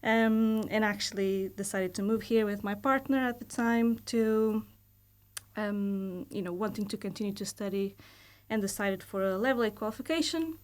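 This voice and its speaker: Thoughtful Portuguese-accented woman in her thirties. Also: medium pitch. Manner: thoughtful, measured, hedges often with fillers. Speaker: guest, university lecturer. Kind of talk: casual podcast interview. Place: podcast studio.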